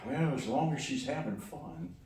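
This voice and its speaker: A man's voice: mid-range voice, expressive, normal speed, lightly acted. A man playing an easygoing, hospitable old grandfather in a live radio play, folksy and wry. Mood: amiable, wry. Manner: folksy, unbothered. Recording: noisy echoey hall, distant mic.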